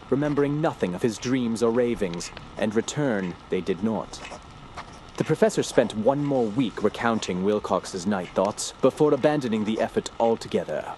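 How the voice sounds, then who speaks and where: Solemn male narrator acted by a man, low-pitched and ominous, for gothic horror audio drama, light ambient noise. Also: darkish tone, natural voice, rough recording. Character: grave male narrator recounting his uncle's dark discoveries.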